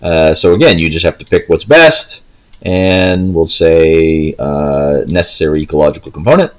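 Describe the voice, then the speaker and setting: A man's voice: a bass voice, moderate pace. A man giving casual gameplay commentary, explaining step by step in a rambling way. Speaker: gameplay video host. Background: faint game music.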